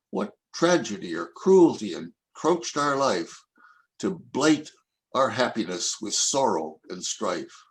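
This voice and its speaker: An older man reading his own rhyming poems aloud in a measured, reflective recitation. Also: mid-range voice. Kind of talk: poetry reading.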